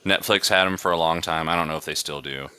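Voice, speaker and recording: A man's voice: low-pitched, fast, bright, forceful tone, clean tone, dry recording. A man hosting a podcast, chatting casually in a rambling, conversational way. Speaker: podcast host. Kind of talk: casual chat.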